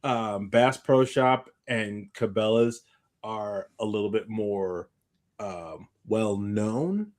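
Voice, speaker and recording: A man's voice: on the low side, takes it slowly. A tired man hosting a podcast, chatting casually in a rambling way and often backtracking mid-sentence. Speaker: podcast host. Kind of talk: casual chat.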